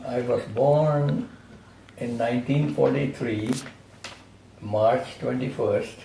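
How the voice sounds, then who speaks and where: A man in his seventies with an Indian accent speaks slowly, with a gravelly, mellow voice. He sounds a bit far from the mic, and the room is echoey, with a faint hum of ambience behind him. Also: mid-range voice. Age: 70s.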